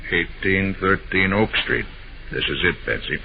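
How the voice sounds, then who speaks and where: An actor playing a calm, commanding male private detective in a 1940s-style radio drama, brisk and authoritative. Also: sits low, vocal fry, faint music behind. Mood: low, wary. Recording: noisy, dull old-time radio recording.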